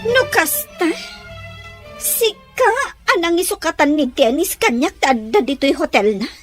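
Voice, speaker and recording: A high, very animated voice from a woman acting as a jealous, wronged woman in an audio drama. She is angry and accusing, breaking into sharp outbursts as her pitch leaps about. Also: measured pace, soft background music. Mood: jealous rage. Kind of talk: dramatized Ilocano audio story.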